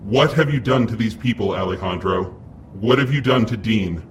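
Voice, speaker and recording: A man's voice: lowish voice, moderate pace, recorded from a distance, noisy background, lightly acted. A man playing an earnest young superhero in an audio drama, speaking with grave urgency. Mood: angry, demanding. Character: earnest young male superhero confronting villains.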